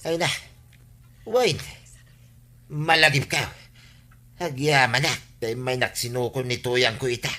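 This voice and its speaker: Piercing-voiced, henpecked husband. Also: male voice, medium pitch, measured pace, pauses often, pushed and bright. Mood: relieved. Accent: Ilocano. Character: hapless henpecked husband, fond of drink, wheedling. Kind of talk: Ilocano radio comedy drama acting.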